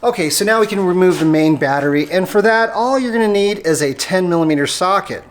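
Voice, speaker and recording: American accent, medium pitch, casual tutorial narration, conversational, explanatory, some noise behind the voice. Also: animated, fairly quick. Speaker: host of an automotive how-to channel.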